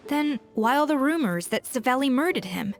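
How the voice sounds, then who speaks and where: A woman's voice: sits high, expressive, moderate pace, soft background music. An actress voicing a thoughtful young woman investigating a mystery in a game, who speaks in a thoughtful and questioning way. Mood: pensive. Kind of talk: game dialogue voice acting.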